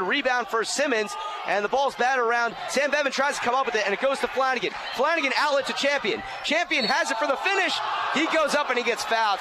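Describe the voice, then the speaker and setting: Over clear gym noise with a bouncing ball, a young man with a fairly high voice calls a basketball game live. He is an excitable play-by-play announcer who lifts his voice when the action heats up. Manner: excitable, raises voice on big plays. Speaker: play-by-play announcer and sports show host. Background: basketball game in an indoor gym.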